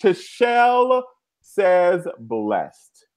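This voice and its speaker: A man's voice: high-pitched, very animated, extremely slow. A man co-hosting a casual live recap show over a video call, emotional and playful. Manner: emotional, playful, sings along.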